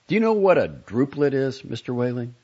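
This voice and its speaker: A man's voice: mid-range voice, big pitch swings, brisk, a little mellow, slightly rough, highs cut off, pitched above the performer's own voice. A man voicing a centuries-old doctor in an audiobook story, lofty and courteous, lecturing with emphatic zeal. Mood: severe, lecturing. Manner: emphatic, oratorical.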